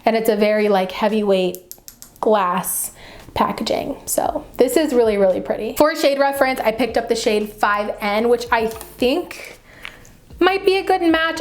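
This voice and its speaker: A woman beauty vlogger chatting casually and enthusiastically to camera, with a slightly dry, raspy voice from getting over the flu. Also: fairly high pitch. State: getting over the flu, mild sore throat. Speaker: beauty vlogger, channel host.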